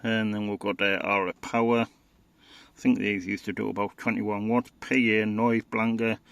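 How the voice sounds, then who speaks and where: A man's voice: fairly low pitch, level tone, normal speed, gravelly, a bit bright, clear, resonant tone, dry recording. A man informally demonstrating radio equipment in a casual, hesitant, matter-of-fact way. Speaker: radio enthusiast presenting equipment.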